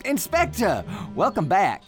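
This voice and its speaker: An actor playing an eager, chatty, prying police lieutenant with a folksy manner in a radio drama. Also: male voice, high voice, huge pitch swings, a little slow, faint music behind, full character voice. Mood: chatty, probing.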